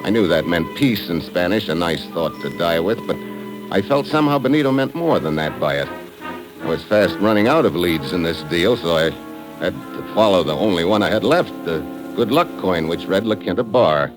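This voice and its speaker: Deep-voiced, hard-boiled American radio adventurer. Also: male voice, animated, moderate pace, music in the background, rough recording. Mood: grim, narrating. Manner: terse, dry. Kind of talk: 1950s radio acting, first-person narration and dialogue.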